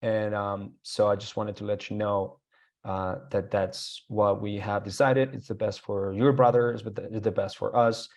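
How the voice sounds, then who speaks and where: A man's voice: on the low side, little pitch movement, unhurried. A young man with a Colombian Spanish accent, a guest telling his own story in a casual interview, rambling with fillers and restarts.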